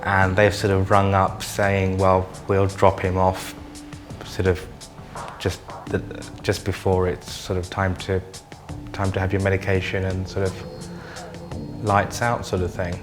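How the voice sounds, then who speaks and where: A man in his thirties with a very low voice gives a casual interview. He phrases things loosely and hesitantly, with music clearly behind him. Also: monotone, unhurried. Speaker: mental health service user interviewee.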